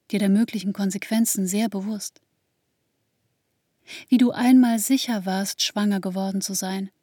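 A woman narrating a radio drama in a measured, restrained way, recounting painful memories intimately in the second person. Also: mid-range voice, warm tone, dry recording, natural voice. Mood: quietly reflective. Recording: dry studio with music underneath.

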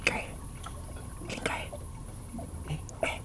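Hushed brash young city woman. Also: extremely slow. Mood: hushed curiosity. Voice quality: hushed, often whispering. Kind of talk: naturalistic German radio play acting.

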